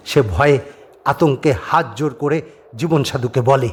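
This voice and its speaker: A man narrating a scripted horror story dramatically, with suspenseful pauses, over atmospheric ambience. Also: mid-range voice, slightly rough. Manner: suspenseful, theatrical phrasing with pauses. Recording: faint background noise and ambience.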